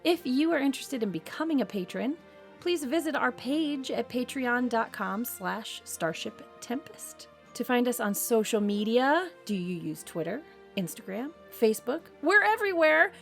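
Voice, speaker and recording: Creaky-voiced woman announcer over music. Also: a little high, animated, normal speed. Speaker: announcer and cast member of an actual-play show. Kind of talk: scripted outro announcement.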